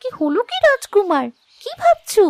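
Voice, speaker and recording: A woman's voice: extremely high pitch, very animated, moderate pace, dry recording, clean studio sound, heavily acted. A woman voicing a small, eager myna bird in a fairy tale cartoon. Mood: eager, encouraging.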